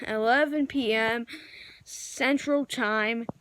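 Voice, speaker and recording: Slow, high-pitched woman reading mock bulletins. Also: fairly even intonation, a bit bright, smooth, no echo. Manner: halting, improvised delivery with stumbles. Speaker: amateur video creator playing broadcast announcer. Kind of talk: improvised mock emergency news and weather bulletin.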